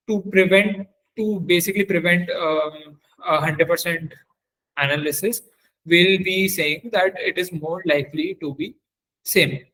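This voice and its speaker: A man with a somewhat high-pitched voice leads a technical webinar. He talks like a trainer walking through a demonstration on screen. His explanation is halting, with repeated phrases and self-corrections. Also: a bit bright, poor audio.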